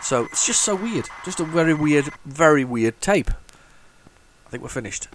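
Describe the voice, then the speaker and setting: A man hosting a retro gaming video, talking casually while loading old tapes, with a head cold and a rambling, restart-filled way of talking that jumps into excited bursts. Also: on the high side, moderate pace, slight background noise. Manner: rambling, chatty, lots of restarts and sudden excited outbursts. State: has a head cold, sniffly.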